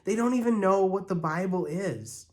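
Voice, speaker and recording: A man's voice: high-pitched, lively intonation, moderate pace, voice pushed up. A man voicing an indignant, know-it-all young girl in a storybook, exasperated and scornful.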